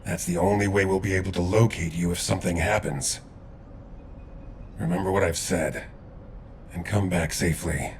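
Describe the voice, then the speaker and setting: A man voicing a grave, seasoned warrior in a video game, measured, earnest and restrained. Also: deep voice, fairly even intonation, a little hiss or hum. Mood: grave warning.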